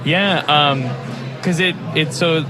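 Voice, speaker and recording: A man's voice: fairly high pitch, steady tone, takes it slowly, a crowd in the background. A man with an American accent hosting a casual podcast interview, talking in an informal, rambling way. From United States. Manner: rambling, heavy use of "like", informal.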